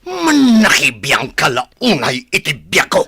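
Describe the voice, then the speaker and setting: A man's voice: a little high, huge pitch swings, slow pace, pushed and bright, husky, no room sound, clean studio sound, heavily acted. An actor playing an arrogant, lecherous, hot-tempered young man in a radio drama, taunting and menacing. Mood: furious, threatening.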